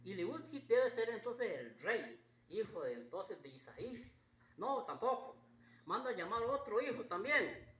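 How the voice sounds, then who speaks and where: A preacher with a slightly husky voice gives an informal Bible sermon in a halting, repetitive, homespun way. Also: medium pitch, very animated, a bit bright. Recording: roomy echo with faint background noise.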